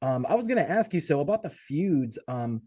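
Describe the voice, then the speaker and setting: Boston-raised guy doing a casual interview, lively up and down voice, very dry. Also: a little high, brisk, no echo. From Boston, United States. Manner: profane, informal. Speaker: interview host.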